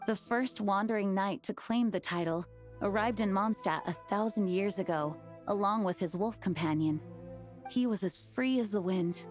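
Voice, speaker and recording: A dutiful female knight leader acted by a woman, medium in pitch and composed. She speaks formally and with measured care, as in video game dialogue, over faint music. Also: natural voice. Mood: solemn. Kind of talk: video game character acting.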